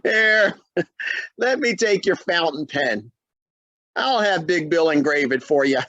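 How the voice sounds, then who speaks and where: A man playing an animated, chummy radio pitchman. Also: slow pace, no echo, lo-fi sound, heavily acted. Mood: helpful. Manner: chummy, salesman-like patter. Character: genial, chummy radio pitchman and family friend. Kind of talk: old-time radio comedy acting.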